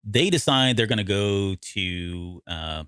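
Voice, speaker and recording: Low-voiced man in casual podcast talk. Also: expressive, slow pace, a bit bright, smooth, no echo, clean studio sound. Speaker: podcast co-host. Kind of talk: casual discussion recapping an audio drama.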